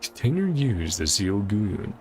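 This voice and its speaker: A man's voice: fairly low pitch, big pitch swings, a little slow, a little mellow, soft background music. A man doing casual video game playthrough commentary, musing aloud and reading game text out as he goes. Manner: musing aloud, speculating to himself. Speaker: gaming content creator playing through a story quest.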